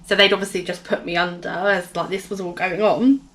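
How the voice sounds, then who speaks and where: A woman with a hoarse, gravelly voice telling a personal story in a casual vlog, rambling with many fillers and restarts. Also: on the low side, steady tone, a little fast, fairly bright tone. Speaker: vlogger.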